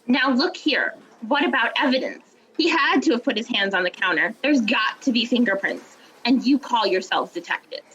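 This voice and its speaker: A woman's voice: fairly high pitch, expressive, moderate pace, fairly bright tone, muffled recording, a little hiss or hum. A woman playing an indignant, self-important bank official, emphatic and haughty, in an audio drama. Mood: demanding. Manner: haughty, emphatic, repeating words.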